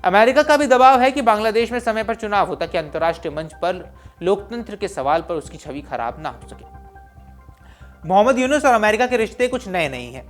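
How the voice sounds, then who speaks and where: A man presenting scripted news in an emphatic, explanatory way. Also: sits high. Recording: voice over music bed. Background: background music.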